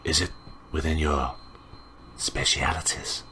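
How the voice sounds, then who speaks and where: A man voicing a secretive woman detective in a visual novel, speaking in a hushed, terse, guarded way. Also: a bass voice, little pitch movement, unhurried, slight background noise, exaggerated voice. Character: secretive woman detective striking a shady deal. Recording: voice over game music.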